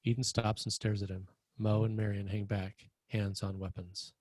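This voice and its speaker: A man's voice: lowish voice, flat delivery, moderate pace, darkish tone, no echo. A man reading screenplay stage directions as scripted narration.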